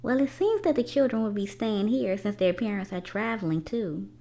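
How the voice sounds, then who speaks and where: A woman voicing a kindly, reassuring father from a children's storybook in a measured, explanatory way. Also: medium pitch, animated, warm tone, natural voice.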